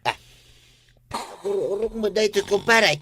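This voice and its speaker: Piercing, excitable Ilocano village husband. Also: male voice, high voice, normal speed, a little hiss or hum. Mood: urgent calling. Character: excitable, battered village husband, loud and complaining. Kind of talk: Ilocano radio comedy drama acting.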